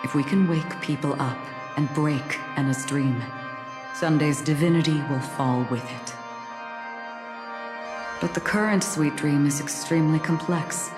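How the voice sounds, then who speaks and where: A cool, resolute female strategist in a video game. Her voice is strikingly low, solemn and deliberate, every statement declarative. It sits in a roomy echo with music behind. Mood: grave, analytical. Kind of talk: dramatic scripted game dialogue. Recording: roomy reverb over prominent music.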